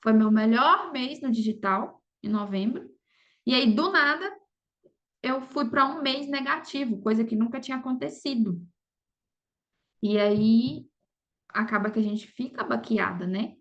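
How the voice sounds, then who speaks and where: A Brazilian woman with a fairly high voice speaks as a podcast guest in a casual, conversational way. She often tacks short confirming questions onto her sentences. The recording sounds somewhat dull and lo-fi. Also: unhurried. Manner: conversational, frequent "sabe" and "entendeu" tags. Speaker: podcast guest, affiliate marketer. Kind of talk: casual interview talk.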